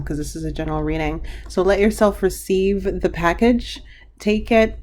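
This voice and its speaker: A woman giving a pick-a-card tarot reading in a chatty, meandering way, full of fillers and restarts, her voice slightly hoarse. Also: fairly low pitch, a little mellow, slight background noise.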